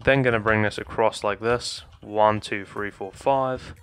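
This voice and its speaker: A young man with a slightly hoarse voice casually talking viewers through a building tutorial, addressing them as guys. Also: lowish voice, steady tone, unhurried, a bit bright. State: slightly hoarse, losing his voice. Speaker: Minecraft building tutorial creator. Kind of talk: casual gameplay tutorial commentary. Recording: faint background music.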